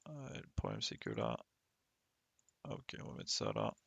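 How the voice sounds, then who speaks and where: Gravelly Frenchman muttering through a game stream. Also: rumbling low, wildly swooping pitch, moderate pace, fairly bright tone, no room sound, dull audio. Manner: thinks aloud, muttering to himself with small playful sound effects. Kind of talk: casual live gameplay commentary.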